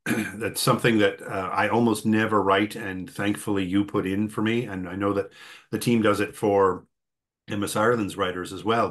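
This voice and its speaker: A man hosting a conversational interview, thinking aloud in a rambling way and often clearing his throat. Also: fairly low pitch, a bit bright. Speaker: host and interviewer.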